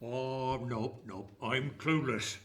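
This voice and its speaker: A man's voice: medium pitch, fairly bright tone, slightly rough. A man playing a dim, bumbling, good-natured police constable in a comic radio play before a live audience. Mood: dim, dithering. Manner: slow, bumbling.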